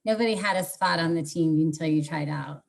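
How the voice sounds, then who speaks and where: A woman in her forties from Southern California, speaking in a measured, thoughtful way with some hesitation as she recalls things. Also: low-pitched, vocal fry. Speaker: former college tennis player. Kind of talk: casual video call interview.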